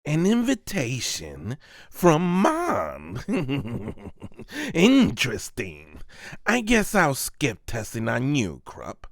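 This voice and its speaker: A man's voice: medium pitch, fairly bright tone, no echo, clean studio sound, heavily acted. A man voicing a mocking, menacing lord who experiments on people, with theatrical drawling threats, in an amateur manga voice-over.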